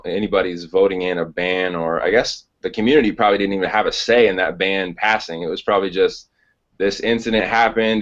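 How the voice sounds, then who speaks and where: A man hosting a podcast interview who speaks in a measured, thoughtful way, with frequent "uh" fillers. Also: low voice, lo-fi sound. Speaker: podcast host. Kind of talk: casual interview.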